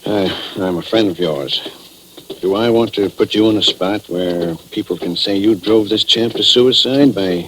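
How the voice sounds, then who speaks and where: An actor playing an uneasy, loyal small-town doctor in a 1940s radio drama, speaking in a halting, confidential, folksy way. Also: male voice, lowish voice, fairly bright tone, close to an everyday voice. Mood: uneasy, confiding. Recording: noisy old 1940s radio recording.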